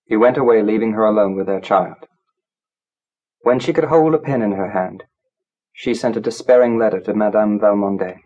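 A man reads literary fiction as an audiobook narrator. His voice is fairly low and a touch husky, and he tells it gravely, in measured dramatic phrases. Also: mellow, dull audio.

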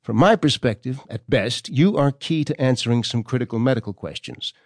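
An actor playing a stern, formal government doctor reading out his correspondence in a measured, condescending way for an audio drama. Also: medium pitch, lively intonation, no room sound, studio quality. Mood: measured, warning.

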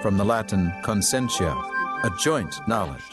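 A man's voice: on the low side, animated, moderate pace, natural voice. A man acting as a solemn announcer, reciting definitions as scripted narration for an audio drama. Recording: very loud music under the voice. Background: loud music.

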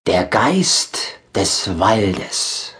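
A man narrating a children's audiobook in an expressive storytelling style. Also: on the low side, extremely slow.

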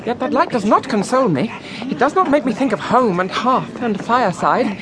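A man's voice: very high voice, animated, normal speed, crowd noise, poor audio. An actor playing an earnest, nervous young public-school Englishman in a radio drama monologue, prim and rationalising. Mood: wary.

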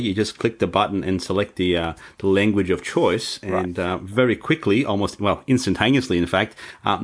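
Man, tech podcast co-host, upbeat, promotional tone, fairly low voice. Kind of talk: casual tech podcast chat.

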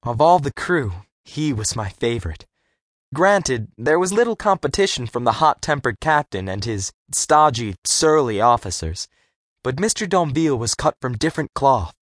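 A man with a medium-pitched voice tells a first-person adventure story. He reads expressively and articulates clearly, in a very dry studio sound suited to a young readers' audiobook. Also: very animated, moderate pace, no echo. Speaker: audiobook narrator.

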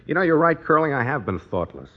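A man's voice: a little high, very animated, fast talker. A man playing a smug, wisecracking best pal who needles with dry putdowns in 1950s radio sitcom style. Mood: breezy, smug. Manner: dry teasing, punchy putdowns. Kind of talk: 1950s radio sitcom acting before a live audience. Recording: muffled vintage radio recording.

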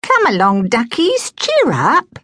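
A woman voicing a cheerful poor young London girl, bright and sing-song. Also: fairly high pitch, wildly swooping pitch, slow pace, no room sound, clean studio sound, pitched above the performer's own voice, exaggerated voice.

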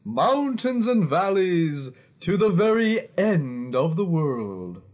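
A man voicing an eager, boastful keen-eyed servant in a fairy-tale audiobook, with a wondering, drawn-out delivery, in lo-fi sound. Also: high-pitched, sing-song, darkish tone, exaggerated voice. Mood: proud.

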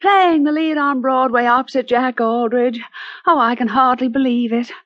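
A woman's voice: high-pitched, steady tone, normal speed, dry recording, natural voice. A woman playing an eager, starstruck young understudy, polite and deferential, in 1940s radio drama style. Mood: eager, thrilled. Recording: dull old-time radio recording.

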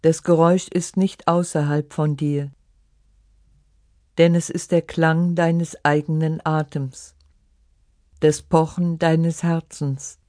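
A meditation guide reading a scripted relaxation in short, measured, instructive phrases. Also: medium pitch, dark tone, no echo, clean studio sound. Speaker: yoga nidra meditation guide.

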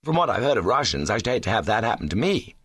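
Man voicing lovestruck young chap, breezy, slangy, eager, animated pitch, dry sound, comic storybook. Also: quick pace, slightly rough, no echo, heavily acted. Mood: indignant. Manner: breezy, earnest, indignant when rebuffed. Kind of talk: comic short-story reading.

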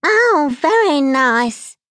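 A woman voicing a spiteful witch who talks slowly and sneers indignantly. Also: sits high, sing-song, fairly bright tone, no room sound, studio quality, voice pushed up, full character voice. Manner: slow, drawn-out, indignant and sneering.

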